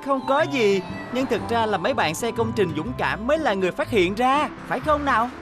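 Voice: medium pitch, lively intonation, a little fast, natural voice. A performer voicing an eager, kind young boy bus in a Vietnamese cartoon dub, speaking earnestly over music. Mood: friendly.

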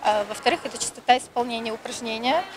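Woman in her 30s giving tv interview in a noisy gym, voice a bit high. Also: fast. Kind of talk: on-camera interview answer. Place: large indoor sports hall. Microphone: handheld reporter microphone. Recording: loud busy sports hall noise.

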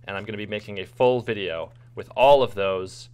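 A man's voice: on the low side, a little fast, darkish tone, clean tone. A man casually explaining what is on screen as a video editor narrating a screen recording.

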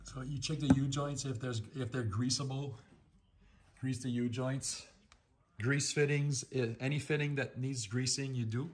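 A middle-pitched man in his fifties hosts a do-it-yourself shop vlog. He talks casually and loosely, restarting phrases and filling gaps with "uh". He is recorded on a phone camera held at arm's length in an indoor garage workshop. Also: steady tone, unhurried. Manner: chatty, rambling, with many restarts and "uh" fillers. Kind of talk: casual how-to talk.

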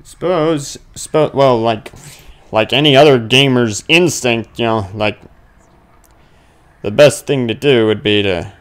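A man's voice: medium pitch, slow, fairly bright tone, clean tone. A man giving casual, thinking-aloud commentary on a horror game, grumbling and muttering with sudden exclamations. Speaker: let's play gamer.

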